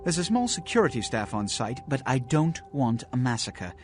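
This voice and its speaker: Man acting an imperious vampire prince, dramatically animated. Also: medium pitch, normal speed, faint music behind, close to an everyday voice. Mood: commanding. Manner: formal, haughty, theatrical. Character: imperious, scheming vampire prince, a polished commanding ruler. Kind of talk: video game character acting.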